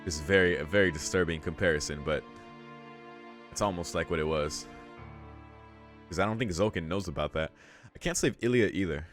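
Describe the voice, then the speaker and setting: A man reading a visual novel aloud as a let's play host, in measured narration with casual, slangy asides. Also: low-pitched, fairly bright tone. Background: music.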